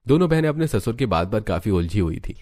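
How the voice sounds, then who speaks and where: Male narrator, low register, scripted storytelling for a family cartoon. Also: very animated, quick pace, darkish tone.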